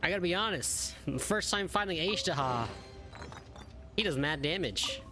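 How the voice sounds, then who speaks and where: Male streamer, slightly high voice, unhurried, amused casual game reactions over music. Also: lively intonation, pushed and bright. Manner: amused, slangy. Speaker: gaming streamer. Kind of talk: casual live reaction commentary.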